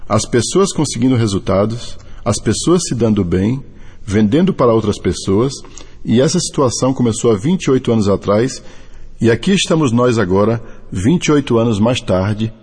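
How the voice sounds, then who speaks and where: A middle-aged Brazilian man with a Brazilian Portuguese accent, reading a motivational testimony in a deliberate, earnest way. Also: medium pitch, darkish tone, husky. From Brazil (Bahia). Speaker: network marketing distributor. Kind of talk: scripted motivational testimony and read-out translation. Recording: long hall reverb.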